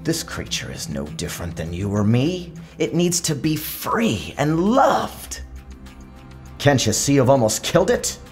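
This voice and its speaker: Storybook magician, male, compassionate and earnest, tender delivery over clear music man acting. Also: mid-range voice, sing-song, unhurried, pitched above the performer's own voice. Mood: pleading. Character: gentle, compassionate male magician pleading and soothing. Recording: music behind the voice.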